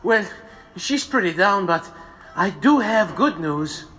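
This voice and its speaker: Bright, high, eager newsboy, urgent and excited. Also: male voice, takes it slowly, soft background music, full character voice. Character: eager young male newspaper boy. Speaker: messenger visiting the jail.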